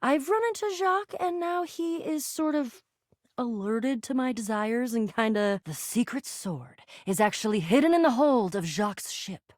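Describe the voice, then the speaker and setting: A woman's voice: fairly high pitch, expressive, moderate pace, no echo, studio quality, lightly acted. A woman playing a plucky, eager cardboard box adventurer and noble thief in a video game, theatrical and grandiose with giddy slang. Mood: sheepish, earnest. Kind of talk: animated game character dialogue.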